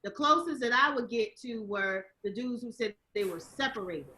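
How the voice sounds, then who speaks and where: A woman's voice: fairly high pitch, expressive, normal speed, pushed and bright, rough recording. A woman comedian on a video call chat, earnestly arguing her point step by step. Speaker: comedian guest.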